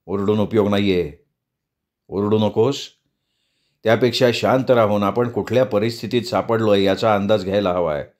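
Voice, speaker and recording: A man voicing a composed detective who speaks low and measured. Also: a little mellow, close to an everyday voice. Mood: calm. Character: calm male detective, steady and firm.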